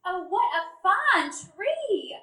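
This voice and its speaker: An actress playing a haughty rich mill owner's wife, bargaining pushily in a live radio play. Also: female voice, very high voice, big pitch swings, drawn-out words, sharp tone, full character voice. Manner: pushy, bargaining, exclaiming. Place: dinner theater hall with audience. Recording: roomy live stage recording.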